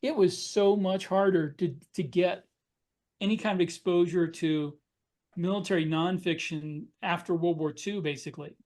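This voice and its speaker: A man's voice: high-pitched, fairly even intonation, normal speed, a little mellow. A man co-hosting a writers' podcast, talking in a casual, reflective way.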